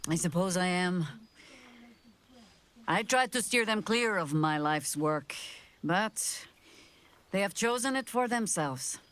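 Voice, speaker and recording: Video game veteran leader: older, weary, measured delivery with dry wit. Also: female voice, fairly low pitch, animated, a bit bright. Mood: weary, resolute. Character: weary, wry, battle-worn veteran woman, leader of freedom fighters. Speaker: leader of a secret order. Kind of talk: video game character acting.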